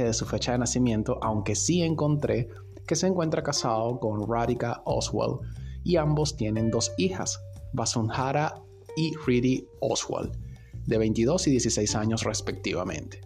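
A man's voice: mid-range voice, vocal fry, darkish tone. A man hosting a podcast, telling a story in an informal, conversational way with sardonic asides. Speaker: podcast host. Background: music.

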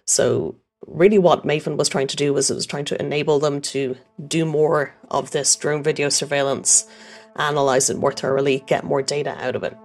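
Irish woman engineer interviewee, gravelly, reflective and halting. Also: deep voice, steady tone, soft background music. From Ireland. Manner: thoughtful, with frequent fillers. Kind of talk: interview answers.

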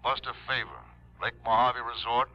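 A man's voice: mid-range voice, level tone, normal speed, lightly acted. An actor playing an eager resort owner in a radio drama, urgent and persistent in the way he talks. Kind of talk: 1960s radio drama acting. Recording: dull old radio broadcast recording.

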